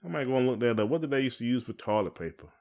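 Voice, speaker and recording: A man's voice: medium pitch, expressive, very fast, a little mellow. A man with a Southern US accent chatting casually as a podcast co-host, in a folksy, joking way. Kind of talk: casual chat.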